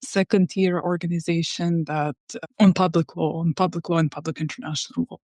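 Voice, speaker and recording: A woman's voice: on the low side, fairly even intonation, fairly quick, a little mellow, no room sound. A woman from Turkmenistan, an academic guest on a podcast, explaining ideas thoughtfully with frequent restarts. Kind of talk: podcast discussion.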